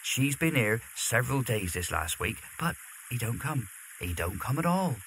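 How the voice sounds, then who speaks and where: A man's voice: medium pitch, very animated, moderate pace, fairly bright tone, a little hiss or hum, rough recording. A man acting a hospitable rustic weir keeper, folksy and wry, in an audio drama. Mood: wry, obliging.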